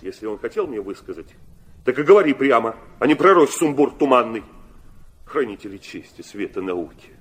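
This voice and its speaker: An actor playing an agitated, querulous prince in a Russian classic audio drama, muttering and breaking into fretful outbursts. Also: male voice, medium pitch, sing-song, brisk, breathy edge. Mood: irritated, anxious.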